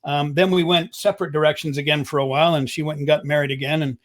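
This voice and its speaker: A man's voice: a little high, steady tone, fast talker. An older man from Montreal, a voice talent, telling a story in an easygoing way over a video call. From Montreal, Quebec, Canada. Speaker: voice talent, guest. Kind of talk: casual interview chat.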